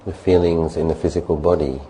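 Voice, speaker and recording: Very deep, mellow man guiding meditation. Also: rough recording. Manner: calm, unhurried, with long pauses between short phrases. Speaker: meditation teacher. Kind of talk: guided meditation, spoken instruction. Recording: faint background noise and ambience.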